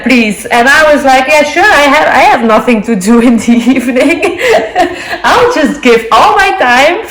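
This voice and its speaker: Belgian Dutch woman gushing about books. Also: fairly high pitch. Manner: apologetic, gushing, searching for words. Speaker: book vlogger. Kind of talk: casual chat to camera. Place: living room floor. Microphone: earbuds. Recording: roomy home audio on earbuds.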